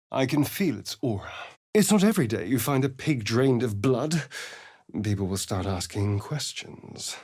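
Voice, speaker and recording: Theatrical male vampire, fantasy game companion, sardonic, suave, animated mid-pitched voice, dry studio sound. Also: husky, no room sound, heavily acted. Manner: theatrical, arch, dramatic delivery. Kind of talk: fantasy video game voice acting.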